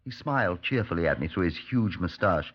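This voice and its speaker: British detective superintendent, lowish voice, lively intonation, polite and probing, muffled vintage radio drama. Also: male voice, a little fast. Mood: reflective narrating. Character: measured, courteous, probing Scotland Yard superintendent narrating his case. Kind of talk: 1950s radio drama narration and dialogue. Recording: muffled 1950s radio recording.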